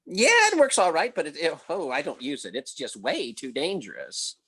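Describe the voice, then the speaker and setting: A woman takes on a folksy, blustering husband in a radio comedy, with a lowish voice that swings widely in pitch. He stammers and bumbles, boastful and easily flustered. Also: huge pitch swings, moderate pace, a little hiss or hum, heavily acted. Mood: folksy, defensive. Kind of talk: old-time radio comedy acting.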